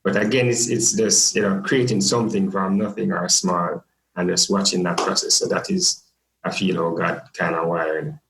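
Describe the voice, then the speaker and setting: A man's voice: low-pitched, fairly even intonation, moderate pace, a bit bright, reverberant, slight background noise, low-quality recording. A young man with a Jamaican accent, a podcast guest talking casually and jokingly with many fillers. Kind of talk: casual interview chat.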